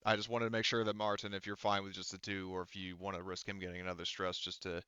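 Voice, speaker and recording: A man's voice: deep voice, little pitch movement, fast talker, bright, forceful tone, clear, resonant tone, no room sound, lo-fi sound. A man casually narrating and talking players through a tabletop game as game master.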